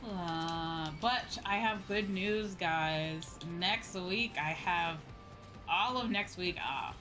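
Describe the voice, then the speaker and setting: A woman's voice: on the low side, takes it slowly. A woman livestreamer talking casually and informally to her viewers while she plays, with some casual swearing. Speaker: livestreamer playing online games and chatting with viewers. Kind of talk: casual gaming stream chat. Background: game music.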